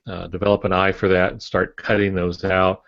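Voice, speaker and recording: Older man, low voice, dry muffled sound. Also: flat delivery, gravelly, fairly bright tone, no room sound. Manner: measured, explanatory. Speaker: podcast co-host, writer and former preacher. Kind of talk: casual instructive discussion. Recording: muffled lo-fi podcast audio.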